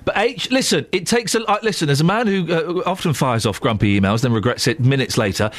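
A man's voice: fairly high pitch, fast. A man hosting a radio phone-in, teasing and playful, a little bunged up. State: bunged up nose. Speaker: radio presenter. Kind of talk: casual radio phone-in chat.

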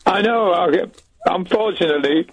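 A man's voice: high-pitched, moderate pace, husky, rough recording. An older man from Manchester with a Manchester accent touched with Yorkshire, calling in to a radio show in a blunt way. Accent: Manchester with some Yorkshire. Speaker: caller. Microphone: phone line.

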